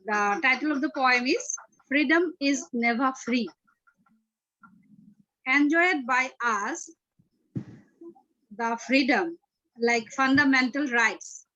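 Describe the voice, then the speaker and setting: A woman from India with an Indian accent, formally and deliberately reciting poetry, pausing between phrases. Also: high voice, pushed and bright, lo-fi sound. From Tripura, India. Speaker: poet, teacher.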